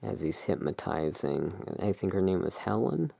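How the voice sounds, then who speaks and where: A person giving a relaxed, musing ASMR commentary as a tarot reviewer, talking in an unhurried, unscripted way. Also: sits low, vocal fry. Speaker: tarot reviewer in a roleplay as emporium owner.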